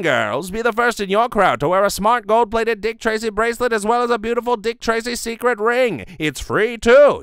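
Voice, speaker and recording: Man as 1930s radio pitchman, enthusiastic, raised voice, emphatic, lively intonation, dry close mic. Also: clean tone, no room sound, full character voice. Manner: emphatic, salesmanlike. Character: booming, enthusiastic male radio announcer pitching cereal premiums. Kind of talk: 1930s radio advertising announcer.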